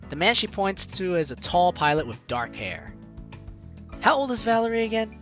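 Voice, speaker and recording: Male let's player, slightly high and lively, expressive visual novel narration with playful asides, light music behind. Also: moderate pace, lo-fi sound. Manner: expressive, acts out reactions. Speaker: let's play host. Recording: music under the voice. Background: game music.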